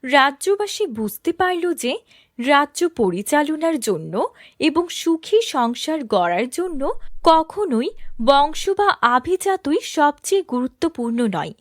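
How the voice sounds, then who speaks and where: Woman reading a fairy tale, high voice, slow pace, dry close sound. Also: big pitch swings, dry recording. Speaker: storyteller narrator. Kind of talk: scripted fairy-tale narration.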